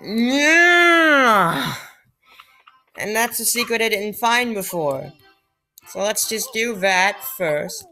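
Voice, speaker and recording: A woman giving casual, excitable commentary while playing a video game, joking and muttering asides. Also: fairly high pitch, extremely slow, pauses often. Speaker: let's play gamer. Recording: faint game music behind.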